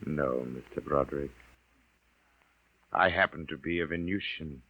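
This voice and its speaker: A man's voice: a bass voice, measured pace, dry recording, exaggerated voice. A man playing an aloof Venusian of about sixty who speaks formally and imperiously in a 1950s radio drama. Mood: aloof, superior. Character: tall, aloof sixty-year-old male Venusian rescuer. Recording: muffled vintage 1950s radio recording.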